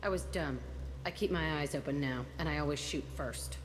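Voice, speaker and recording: An actress playing a tough, bitter young ex-prisoner in a video game, blunt and hard-edged. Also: female voice, low voice, normal speed, in a large hall, noisy background, lightly acted. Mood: bitter, guarded.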